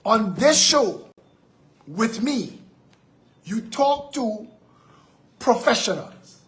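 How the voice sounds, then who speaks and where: A man with a Trinidadian accent hosting a political live-stream, speaking emphatically and repeating phrases for effect. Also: high-pitched. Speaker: live show host.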